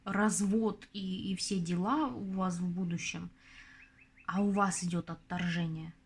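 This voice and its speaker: A young adult woman hosting her own channel as a tarot reader, chatting casually to her viewers, her voice going hoarse. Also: on the low side, fairly even intonation, a little slow. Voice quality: husky. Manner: chatty, confiding, addresses viewers as girls. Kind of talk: casual advice talk to viewers.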